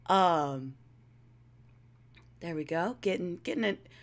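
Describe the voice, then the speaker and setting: A woman's voice: lowish voice, slow. A young woman giving casual, rambling commentary as a video creator, playful and self-deprecating.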